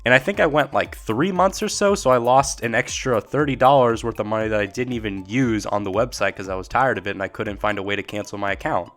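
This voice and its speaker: A young man hosting a YouTube talk series, rambling and candid in casual commentary, his voice a little hoarse from a cold. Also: on the low side, fast, few pauses, a bit bright, soft background music. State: slightly hoarse, getting over a cold. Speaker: YouTube host.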